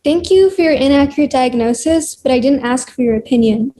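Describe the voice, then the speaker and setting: A woman's voice: high voice, a little fast, dark tone, close to an everyday voice. A woman reading a sarcastic, irritable young woman. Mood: sardonic. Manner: sarcastic, snappy. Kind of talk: table read acting.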